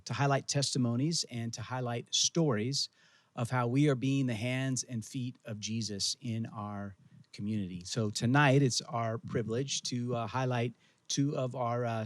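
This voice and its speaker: A man's voice: mid-range voice, steady tone, unhurried. A middle-aged man hosting a church interview, asking upbeat, friendly questions. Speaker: pastor.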